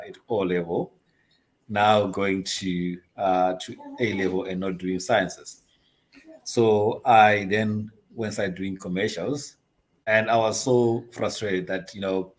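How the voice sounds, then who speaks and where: A man's voice: low-pitched, a little hiss or hum, low-quality recording. A middle-aged man from Zimbabwe, a company chief being interviewed, telling his story in a hesitant, chatty way with frequent ums and light laughs. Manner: hesitant, many ums and uhs, laughs easily. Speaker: guest, investment advisory CEO.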